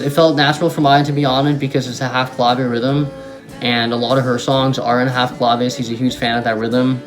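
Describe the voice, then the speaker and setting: A young man of middle pitch talks about his song in a rambling, eager way, full of ums and restarts. His first language is German. Clear guitar music plays behind his voice. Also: fairly bright tone, poor audio. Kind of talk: casual talk to camera.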